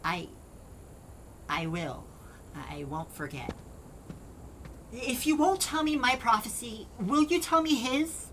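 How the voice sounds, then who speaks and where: A woman's voice: on the high side, lively intonation, slight background noise. A woman playing an earnest, hesitant young man in an audio drama. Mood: defensive. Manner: hesitant, slow.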